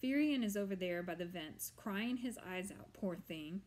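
A woman voicing a bossy, fussy old witch who scolds loudly in a raised voice. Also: mid-range voice, expressive, normal speed, close to an everyday voice. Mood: indignant. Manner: loud scolding.

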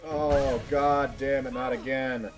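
A man's voice: medium pitch, fairly even intonation, slow pace. A man chatting casually and jokingly to viewers as he livestreams a game, swearing now and then. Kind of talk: casual game stream commentary. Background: game music.

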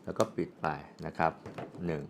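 A man gives a casual, unscripted product demonstration, explaining step by step as a reviewer. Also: normal speed. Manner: explanatory, with frequent polite particles and spoken handling sounds. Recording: clearly audible background ambient noise.

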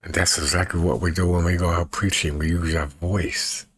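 A man in his fifties with a husky voice, chatting casually to camera in a folksy way and repeating himself as he demonstrates. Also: low voice, little pitch movement, moderate pace, fairly bright tone. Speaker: evangelist and video creator. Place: small bedroom, indoors.

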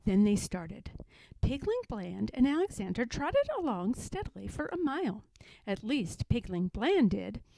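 A woman reading a children's story aloud in an expressive narrating style. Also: a little high, a little slow, creaky, dry recording, low-quality recording. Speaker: story reader.

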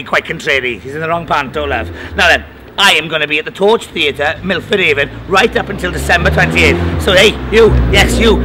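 A man's voice: high voice, noisy background, close to an everyday voice. A man playing an indignant, flamboyant middle-aged pantomime dame, theatrical, gossipy and overemotional. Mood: overemotional, aggrieved, then brash, bossy. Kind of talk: pantomime comedy acting. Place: outdoors on a street outside a shop. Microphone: handheld interview microphone. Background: music.

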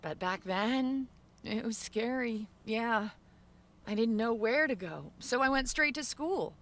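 A woman giving measured, subdued first-person narration for an animated story video. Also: a little high.

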